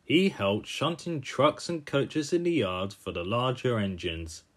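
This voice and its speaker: A man's voice: medium pitch, measured pace. A man narrating in the manner of a children's storybook.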